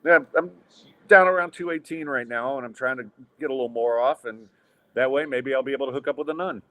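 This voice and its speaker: A man's voice: mid-range voice, fast talker, fairly bright tone. A man in his fifties hosting a podcast, joking in a self-deprecating, wry way. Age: 50s. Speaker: podcast host. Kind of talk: casual podcast banter.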